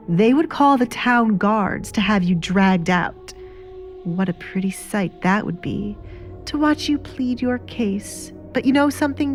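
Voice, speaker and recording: A woman voicing a cruel, scheming baroness in an audio drama, haughty and menacing, with mock-wounded airs. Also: on the high side. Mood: taunting. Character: sly, cruel, scheming baroness, a married mother.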